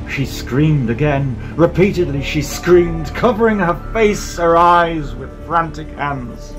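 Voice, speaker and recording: A man narrating a dramatic scripted horror story in a theatrical, suspenseful manner. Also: on the low side. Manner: theatrical, suspenseful delivery with swelling intensity. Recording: music behind the voice. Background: music.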